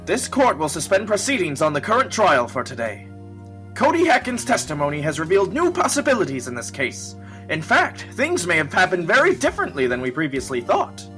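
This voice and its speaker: Casting: performer as flustered male judge for a game fandub, theatrical and pompous, swooping bright delivery over music. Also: medium pitch. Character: flustered, excitable male courtroom judge, pompous and easily shocked. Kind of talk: amateur anime-game fandub acting.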